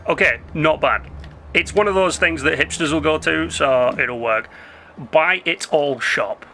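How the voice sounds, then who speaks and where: A young man giving rambling, casual commentary while playing a city-building game, his voice going hoarse. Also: a little high, a little slow, pushed and bright, slight background noise. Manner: chatty, rambling, self-interrupting. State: losing his voice. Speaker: gameplay commentator.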